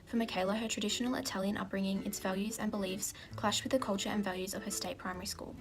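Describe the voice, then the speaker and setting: Fast-reading young woman. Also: medium pitch, fairly even intonation. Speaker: university student. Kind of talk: scripted analytical narration. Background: background music.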